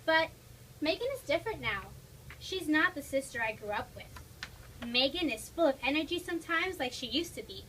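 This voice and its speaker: A woman's voice: sits high, expressive, moderate pace, a bit bright. A woman voicing a worried, loving young girl in a dramatic storybook reading. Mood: sad, scared. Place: toy shop event, before an audience. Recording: distant mic, noisy room.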